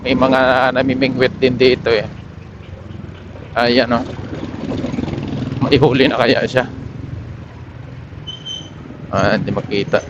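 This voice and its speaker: A man's voice: mid-range voice, flat delivery, slow pace, long pauses, muffled recording. A man chatting casually as a motorcycle vlogger, giving unscripted running commentary into a helmet intercom mic. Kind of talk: casual vlog chat while riding. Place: outdoors on a motorcycle in city streets. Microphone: helmet intercom microphone. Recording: helmet mic with clear traffic noise. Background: vehicles and outdoor street noise.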